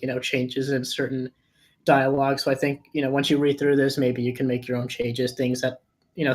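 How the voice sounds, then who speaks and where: A man giving casual feedback on a script in an informal, conversational way. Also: medium pitch. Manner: informal, peppered with "you know". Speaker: table read participant giving script feedback.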